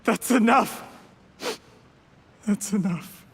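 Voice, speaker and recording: An actor playing a smooth-talking, ambitious young official in a video game, with a formal, polite, pleading manner. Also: male voice, high voice, extremely slow, in a large hall, full character voice. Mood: desperate, angry. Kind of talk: scripted video game voice acting.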